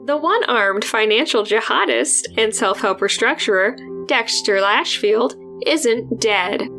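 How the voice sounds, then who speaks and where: A woman's voice: fairly high pitch, expressive, measured pace, gravelly, bright, forceful tone. A woman reading a scripted mock promo as a segment announcer. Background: music.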